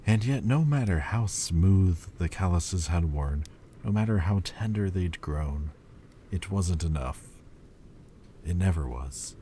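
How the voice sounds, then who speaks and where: A man voicing an ancient male demon lord, quietly confessing in a slow, halting way in an audio roleplay. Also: deep voice, expressive, slight background noise. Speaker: voice actor.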